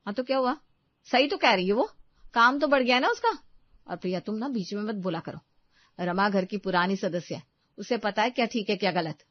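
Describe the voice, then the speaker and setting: A woman voicing a sharp, domineering wife and mother in a family storybook tale, bossy and scolding. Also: medium pitch, a little fast, no echo, dull audio, natural voice. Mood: dismissive. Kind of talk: dramatized storybook narration.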